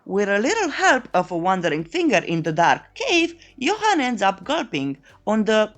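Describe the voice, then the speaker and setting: A woman's voice: mid-range voice, wildly swooping pitch, moderate pace. A woman narrating a scripted comedic story recap in a playful, tongue-in-cheek, theatrical storytelling manner. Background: background music.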